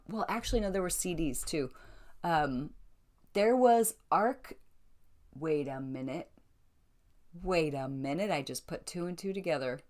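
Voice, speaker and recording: American woman, low voice, casual livestream storyteller who acts out quotes. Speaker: belly dancer telling stories of her career. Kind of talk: casual chat to a live online audience.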